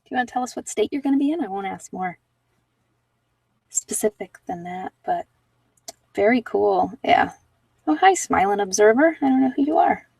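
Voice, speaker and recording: A woman's voice: medium pitch, lively intonation, normal speed, vocal fry, pauses often, darkish tone, slightly rough, dry recording, recorded from a distance, rough recording. A woman chatting casually and candidly with live viewers as a livestream host, in an informal, self-deprecating, rambling way. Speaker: livestream host giving card readings.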